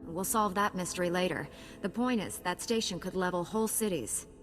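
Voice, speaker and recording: Casting: actress as a determined young heroine knight in a space-opera game, somewhat low pitch, earnest and self-assured. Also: female voice, a little fast, music in the background, natural voice. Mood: serious, focused. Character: resolute, confident young female Jedi knight hero. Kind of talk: scripted game dialogue.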